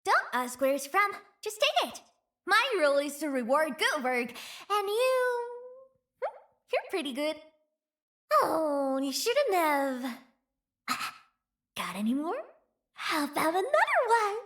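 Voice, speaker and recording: This is a cheeky, greedy young chief from a game. The voice sits very high and bright, with lively swings in pitch. She talks at an unhurried pace and keeps a teasing, bouncy manner. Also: heavily acted. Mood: playful. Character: playful, greedy young female chief, mischievous. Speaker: voice actor. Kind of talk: game character voice lines.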